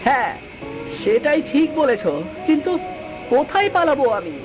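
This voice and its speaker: A woman's voice: high voice, lively intonation, normal speed, over music, low-quality recording. A woman voicing a brave little boy in a cartoon, speaking in an earnest, imploring way. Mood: worried. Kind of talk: cartoon voice acting.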